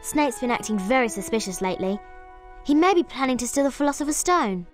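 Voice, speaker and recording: A woman's voice: a little high, expressive, fairly quick, lightly acted. A woman voicing an earnest, cautious, bookish young schoolgirl witch in a video game. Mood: suspicious, worried. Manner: earnest, instructing. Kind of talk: scripted video game voice acting. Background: loud game music.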